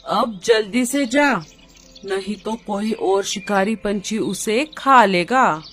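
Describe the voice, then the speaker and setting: A motherly, shrewd old female bird from a cartoon, acted by a woman. She speaks slowly at a middle pitch, instructing and reassuring, with music behind her. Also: a bit bright. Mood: coaxing, sly. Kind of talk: cartoon dialogue. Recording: music under the voice.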